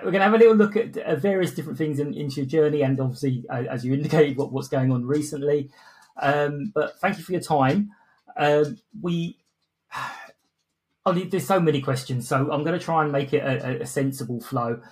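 A man's voice: on the high side, moderate pace. A man hosting a podcast in a casual, jokey, self-deprecating way. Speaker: podcast host. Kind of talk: casual interview chat.

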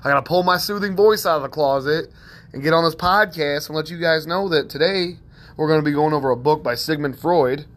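A man's voice: a little high, a little fast, a bit bright. A man hosting a self-help podcast, talking in a rambling, conversational way with plenty of fillers and a soothing tone. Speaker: podcast host.

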